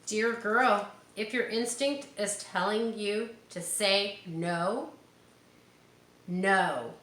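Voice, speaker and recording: A woman reads a picture book aloud to children like a teacher, with expressive emphasis and chatty, encouraging asides. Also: medium pitch. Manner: expressive, drawn-out emphasis on key words; encouraging, explaining to young listeners. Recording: roomy echo with background noise.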